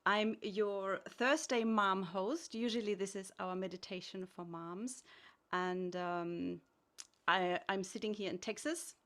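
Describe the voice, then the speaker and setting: A woman from Austria, hosting an online meditation event over a video call in a gentle, inviting way, often pausing to rephrase. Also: medium pitch, fairly even intonation. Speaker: host.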